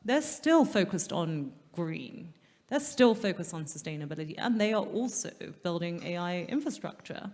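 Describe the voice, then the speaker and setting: A woman moderating a conference panel in a lively, chatty way, rephrasing technical points for the audience. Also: on the low side, normal speed. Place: large conference hall, stage. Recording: long hall reverb.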